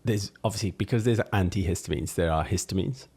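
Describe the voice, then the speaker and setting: A man's voice: low-pitched, fast, darkish tone, breathy edge. A man, co-hosting a casual podcast, rambling and hesitant. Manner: rambling, hesitant, lots of fillers and laughs. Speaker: podcast co-host, musician. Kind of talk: casual chat.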